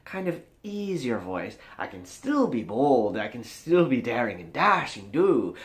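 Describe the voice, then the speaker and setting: A man voicing a gentle, quietly bold forest ranger in a soft, low register with an Irish accent, for a tabletop game. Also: big pitch swings, a little slow. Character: gentle, quiet, daring young male forest ranger folk hero. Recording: echoey room.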